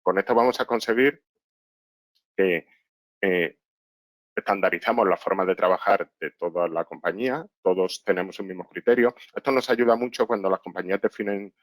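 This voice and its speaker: A man's voice: low-pitched, normal speed, dry recording. A man giving an explanatory business presentation as a consultant, often adding short tag questions to check that listeners follow. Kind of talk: webinar presentation with slides.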